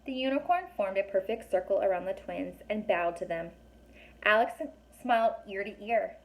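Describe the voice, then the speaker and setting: A woman reading a children's story aloud, pausing to ask young listeners questions and explain things in a chatty way. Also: mid-range voice, lively intonation, poor audio. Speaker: teacher or reader reading a children's book aloud.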